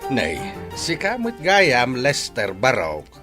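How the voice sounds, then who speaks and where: A man's voice: mid-range voice, wildly swooping pitch, takes it slowly, over loud music. A man with an Ilocano accent playing a hospitable, pious older father in a drama. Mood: welcoming. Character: hospitable, pious old father, gently advising. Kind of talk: Ilocano comedy drama voice acting.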